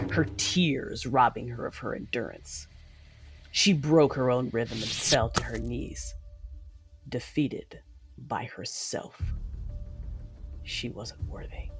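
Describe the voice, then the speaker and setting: Woman playing a cold, imperious Sith lord over music. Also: a bass voice. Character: cold, vengeful female Sith lord narrating her deeds. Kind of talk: dramatic first-person audio drama narration.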